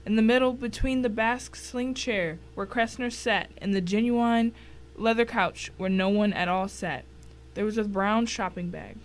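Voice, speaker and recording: A woman's voice: mid-range voice, normal speed. A woman giving scripted first-person narration in a dramatic audio story.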